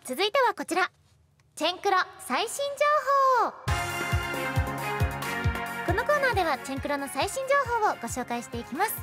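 High-pitched woman hosting, very animated. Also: normal speed, long pauses. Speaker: radio show host. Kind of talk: bright scripted announcements. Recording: music behind the voice.

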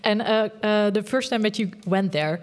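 A woman's voice: mid-range voice, moderate pace. A woman leads a stage debate in a theater. She asks friendly questions full of small fillers. Her voice carries a long hall reverb. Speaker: moderator. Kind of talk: panel moderation.

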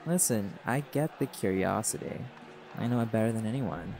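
A man's voice: fairly low pitch, lively intonation, moderate pace, a little mellow. An actor voicing a laid-back, teasing male jaguar shifter in an audio roleplay, blunt and flirtatious, with music playing behind.

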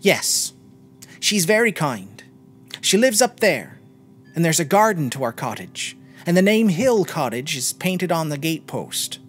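Man putting on an eager, high-voiced little boy. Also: very animated, measured pace, a bit bright, soft background music. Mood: friendly, open. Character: little boy, innocent, chatty and curious.

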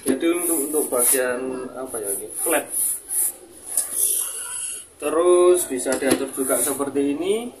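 Young guy showing off a product, chatty and informal but slow, noisy homemade clip. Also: medium pitch. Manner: informal, addresses viewer as boss. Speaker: seller presenting a product review. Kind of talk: casual product demonstration. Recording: noisy home video with clicks.

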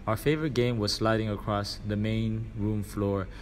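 A man's voice: on the low side, little pitch movement, moderate pace, a little hiss or hum. A man reading a children's storybook aloud as scripted narration. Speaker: reader.

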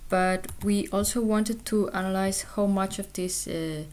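A woman research scientist giving an explanatory conference presentation, hesitant, with frequent pauses and ums. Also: mid-range voice, steady tone, a little mellow.

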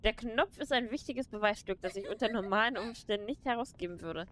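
A woman's voice: mid-range voice, fairly bright tone, a little hiss or hum, natural voice. A woman reading a solemn quest giver. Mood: earnest. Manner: reads out dialogue in a measured tone.

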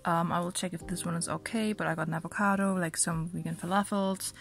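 A woman's voice: on the low side, steady tone, quick pace, vocal fry. A young woman vlogging casually from a small bedroom, hesitant and chatty with many fillers, sounding a little sick. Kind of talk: casual talk to camera and food voice-over.

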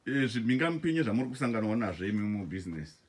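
A man, an off-screen interviewer asking long, measured questions. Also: fairly low pitch, sing-song, breathy edge.

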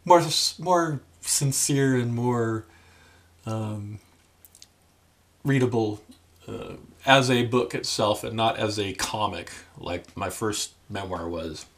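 An older man, around his sixties, talking casually to the camera in a deliberate, halting, dry manner. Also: lowish voice. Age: older, about 60s. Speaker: memoir author addressing viewers. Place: small room at home, indoors.

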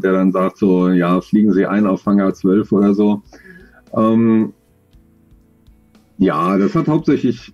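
Brief: man in his 40s, low, dark and husky, thoughtful rambling answers over dull lo-fi call audio. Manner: thoughtful, rambling, self-deprecating. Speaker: audio drama author. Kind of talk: video call interview. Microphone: video call.

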